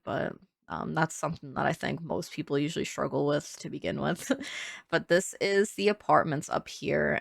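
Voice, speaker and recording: Low, gravelly, nasal young woman. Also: a bit bright, no room sound, clean studio sound. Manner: chatty, rambling, laughs often. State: congested. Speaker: Sims builder narrating a speed build. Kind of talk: casual voice-over commentary.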